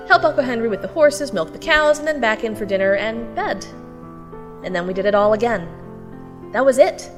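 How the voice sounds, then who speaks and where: An actress playing a spirited, cheeky and stubborn teenage farm girl in an audio drama, expressive and conversational. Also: medium pitch, natural voice. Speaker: narrator and lead character. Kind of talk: audio drama acting with first-person narration.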